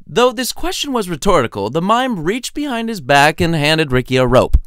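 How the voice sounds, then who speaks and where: A man's voice: fairly high pitch, sing-song, moderate pace, fairly bright tone, clear, resonant tone, dry recording, clean studio sound. A man reading his own story as a wry, theatrical narrator. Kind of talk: lively scripted storytelling narration.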